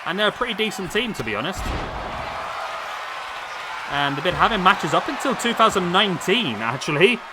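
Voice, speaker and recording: Hoarse man calling game action. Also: high-pitched, moderate pace, long pauses. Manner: chatty, excitable calls over the action. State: losing his voice. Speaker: game commentator. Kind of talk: play-by-play wrestling game commentary. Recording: loud game crowd noise behind. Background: video game crowd and arena sound.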